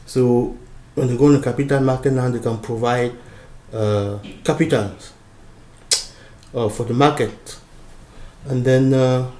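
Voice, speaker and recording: A man's voice: mid-range voice, mellow, roomy sound. A man from Cameroon giving an informal lecture, explaining a diagram with many fillers and restarts.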